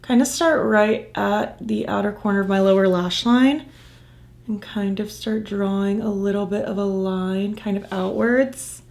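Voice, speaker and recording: A woman chatting casually through a makeup tutorial, gushing about the colors and murmuring softly while she applies them, her voice a little croaky from losing it. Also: medium pitch, steady tone, a little slow. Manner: gushing, enthusiastic, soft murmurs while applying. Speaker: makeup vlogger.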